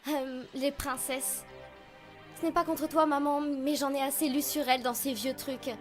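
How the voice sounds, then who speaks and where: A woman's voice: high-pitched, fairly even intonation, moderate pace, lightly acted. A woman voicing a young schoolgirl pony in a cartoon fandub, an earnest and curious daughter. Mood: bored. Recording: studio dub with faint music.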